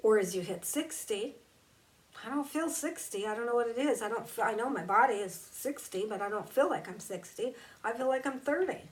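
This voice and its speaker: A woman gives a reflective spiritual talk and leans on key words at an unhurried pace. Her voice sits a little away from the microphone. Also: fairly high pitch, animated, distant mic, low-quality recording. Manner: slow, emphatic and conversational, drawing out key words. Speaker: spiritual teacher.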